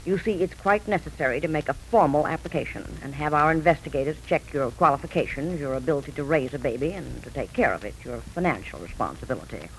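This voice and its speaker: Officious agency guy in an old radio play, talks fast and swoopy, bit of hiss. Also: on the high side, lively intonation. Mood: brisk, formal. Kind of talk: 1940s radio drama acting. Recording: slightly noisy 1940s radio recording.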